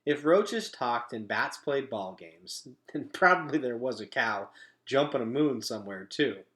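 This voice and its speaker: A male storyteller reads from a children's novel. His mid-pitched voice is bright and crisp in attack, and his expressive phrasing swings widely up and down. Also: measured pace. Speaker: read-aloud storyteller. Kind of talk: animated children's book reading.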